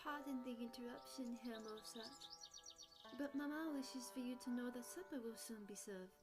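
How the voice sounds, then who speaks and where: A woman as a polite, high-voiced household daughter. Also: normal speed, music in the background, natural voice. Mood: polite, brisk. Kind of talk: period audio drama acting.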